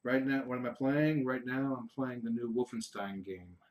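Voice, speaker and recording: A middle-aged man from the United States answering viewer questions on a casual livestream, rambling and halting with frequent restarts. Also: medium pitch, fairly even intonation. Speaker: kitten foster livestreamer.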